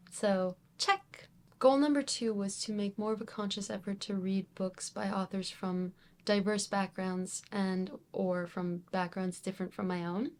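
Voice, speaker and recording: A woman presenting a casual book wrap-up to viewers in an enthusiastic, expressive way. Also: mid-range voice. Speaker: book reviewer video host.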